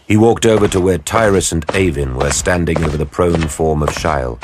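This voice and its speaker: A man narrating an audio drama in a deep, husky voice with grave, deliberate storytelling. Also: slight background noise.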